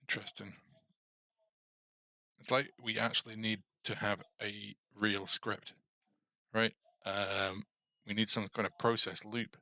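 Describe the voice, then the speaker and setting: A man's voice: on the low side, flat delivery, slow pace, sharp tone, dry recording, studio quality. A man casually thinking aloud as he hosts a game coding tutorial livestream. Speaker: game development tutorial host.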